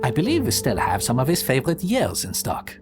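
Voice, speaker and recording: A man's voice: mid-range voice, huge pitch swings, brisk, music in the background. A man voicing a purring vampire prince. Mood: composed. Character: seductive aristocratic male vampire prince. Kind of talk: ASMR audio drama acting.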